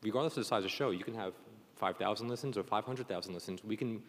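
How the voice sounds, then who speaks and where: Deep-voiced male moderator, reverberant hall. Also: quick pace. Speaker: panel moderator. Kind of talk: panel discussion, conversational. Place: conference session room. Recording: echoey hall, long reverb.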